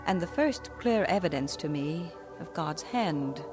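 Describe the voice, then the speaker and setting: A woman's voice: low voice, lively intonation, a little slow. Woman narrating a vintage radio drama. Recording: vintage radio recording with music.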